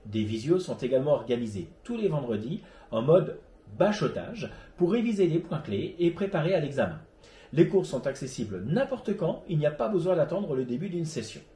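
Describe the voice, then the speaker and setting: A man presents a hobby web show from a script. His voice is on the high side and soft-edged, with plenty of rise and fall in the intonation. He reads in the manner of a host introducing segments. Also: echoey room. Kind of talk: scripted presentation, read narration.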